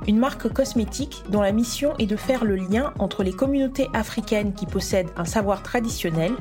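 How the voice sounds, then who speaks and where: A woman hosting a podcast, reading a measured, prepared introduction and then interviewing a guest. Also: mid-range voice. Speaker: podcast host. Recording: music bed under the voice. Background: music.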